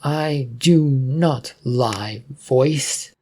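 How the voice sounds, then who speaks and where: Defiant young woman spacefarer, as a man puts her on, blunt, mellow, slightly breathy. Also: medium pitch, extremely slow.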